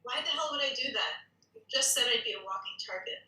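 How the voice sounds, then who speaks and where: A woman's voice: on the high side, animated, brisk, sharp tone. A woman acting a frightened, bewildered civilian dragged into spy trouble at a screenplay table read. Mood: alarmed, protesting. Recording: echoey room, distant microphone.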